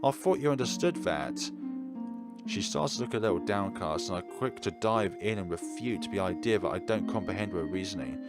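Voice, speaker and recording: Lowish-voiced man narrating a visual novel. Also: a little fast, few pauses. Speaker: gameplay host reading a visual novel aloud. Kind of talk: expressive narration with casual commentary. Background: soft game music.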